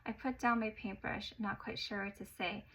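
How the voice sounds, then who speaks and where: A woman narrating a personal story in an expressive way, getting louder at the exciting parts. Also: medium pitch, normal speed, low-quality recording.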